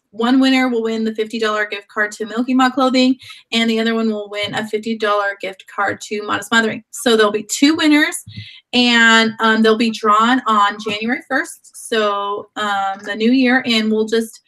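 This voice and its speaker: A woman's voice: fairly high pitch, fairly even intonation, normal speed, a bit bright, low-quality recording. A woman hosting a live video chat, with a sore throat from a cold, who rambles and often restarts her sentences. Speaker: host.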